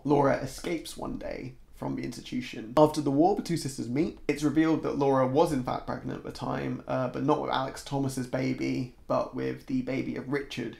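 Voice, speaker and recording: A man in his twenties with a slightly croaky voice, discussing a book to camera in a casual, semi-scripted way at home. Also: mid-range voice. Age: 20s. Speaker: book reviewer, video host. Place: small room at home, indoors. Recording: echoey untreated room.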